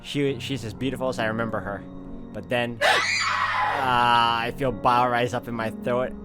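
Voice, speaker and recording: A man's voice: medium pitch, steady tone, unhurried, shouting, over loud music, low-quality recording. A man playing a visual novel, reading its text aloud expressively with casual commentary. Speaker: video game let's player.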